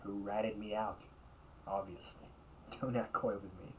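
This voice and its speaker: A man's voice: fairly low pitch, steady tone, measured pace, a bit bright, a little hiss or hum, low-quality recording. A man acting as a young delinquent older brother in an audio roleplay, talking in a casual, slangy, needling way. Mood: suspicious, sarcastic.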